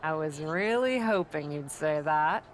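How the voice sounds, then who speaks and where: A woman's voice: low-pitched, animated, a little slow, slight background noise. A woman playing a confident, playful, daring pro wrestler in conversational video game dialogue, with light ambience behind. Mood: thoughtful.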